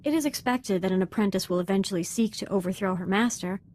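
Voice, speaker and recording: A woman's voice: mid-range voice, fast, darkish tone, lightly acted. A young woman acting as a devoted, fervent Sith apprentice in a video game, speaking in an earnest, deferential and articulate way.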